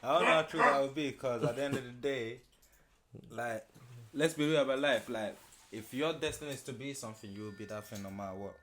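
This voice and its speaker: A man in his thirties from Nigeria, with a voice sitting at an ordinary middle pitch. He tells his stories in a relaxed, casual way, full of slang and easy laughter, like a guest settling into an interview. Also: a little fast, a little hiss or hum. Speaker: music producer and interview guest.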